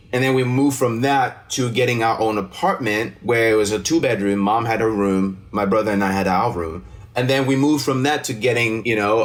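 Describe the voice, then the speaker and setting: A man from Cameroon, a non-native English speaker, telling personal stories expressively and emphatically as a teacher being interviewed. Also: medium pitch. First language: not English. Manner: expressive, emphatic, often checks with "right?". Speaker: teacher and actor, interview guest.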